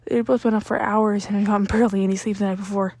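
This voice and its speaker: A woman's voice: medium pitch, flat delivery, rapid-fire, warm tone, dry recording, studio quality. A woman reading scripted fiction aloud as an amateur story narrator.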